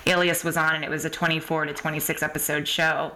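A woman's voice: low voice, flat delivery, fast, sharp tone. A woman chatting casually as a podcast interviewer, upbeat, encouraging and informal. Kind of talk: casual chat.

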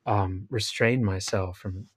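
Halting, teasing man co-host. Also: lowish voice, fairly even intonation, slightly rough, dry recording. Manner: halting, teasing, many restarts. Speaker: podcast co-host. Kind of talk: casual interview.

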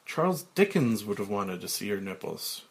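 A man's voice: lowish voice, sing-song, normal speed. A man voicing a brash, short-tempered TV producer who snaps and blusters. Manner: snappy, blustering.

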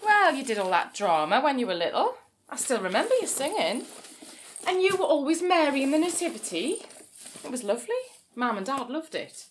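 A woman's voice: high-pitched, big pitch swings, a little fast, slight background noise. An actress playing a relentlessly positive mother, in a naturalistic audio comedy drama. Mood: encouraging. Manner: encouraging, placating. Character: relentlessly positive sister, a mother of young boys.

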